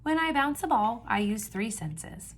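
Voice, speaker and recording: A woman's voice: a little high, very animated. A woman reading a picture book aloud to young children like a teacher, asking simple questions and stressing key words. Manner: instructive, asks simple questions and pauses before confirming answers.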